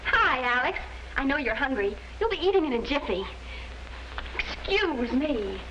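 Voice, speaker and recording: An actress playing a gushing, hot-tempered American teenage sister in a 1950s sitcom-style film. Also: female voice, high voice, sing-song, a little slow. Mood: perky. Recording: noisy vintage 1950s film soundtrack.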